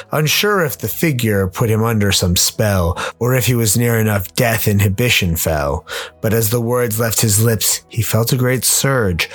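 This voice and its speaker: A man's voice: on the low side, breathy edge. A man reading scripted dramatic narration as a theatrical podcast storyteller.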